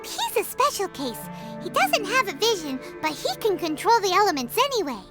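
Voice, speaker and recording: Very high, squeaky little fairy. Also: female voice, very animated, normal speed. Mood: matter-of-fact, proud. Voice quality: squeaky, childlike. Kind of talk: scripted video game dialogue. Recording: music playing behind the voice. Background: game music.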